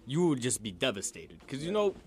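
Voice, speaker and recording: A man's voice: medium pitch, very animated, a little fast, darkish tone, husky, soft background music. A man chatting casually as a panelist on a podcast about games and films.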